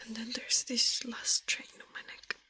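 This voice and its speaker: A woman doing an ASMR livestream, chatting to viewers in a breathy, mostly whispered voice and softly repeating her phrases. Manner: slow-drifting, repeats words and phrases softly.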